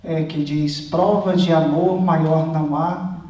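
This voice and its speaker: Brazilian priest in a very echoey church. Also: male voice, a little high, fairly even intonation, takes it slowly, warm tone, muffled recording. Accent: Brazilian Portuguese, from Goiás. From Goiás, Brazil. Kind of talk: personal testimony to a congregation. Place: large reverberant church. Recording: very echoey church, mic at a distance.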